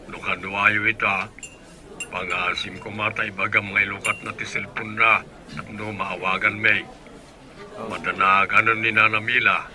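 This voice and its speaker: Low-voiced Ilocano actor as earnest young man. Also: level tone, a little slow, sharp tone. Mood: worried. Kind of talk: Ilocano radio drama acting.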